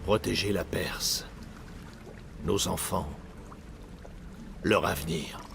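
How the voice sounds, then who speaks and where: Weary older persian assassin, game voice, super gravelly, solemn, crawling slow. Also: male voice, sits low, background noise, heavily acted. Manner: solemn, terse. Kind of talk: dramatic video game acting in French.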